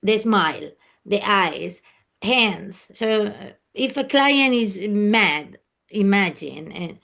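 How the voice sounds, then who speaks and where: A woman's voice: mid-range voice, animated, takes it slowly, creaky, a bit bright. A woman from Spain with a Spanish accent, a native Spanish speaker, answering as an interview guest in an explanatory, conversational way. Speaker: interview guest, luxury service professional.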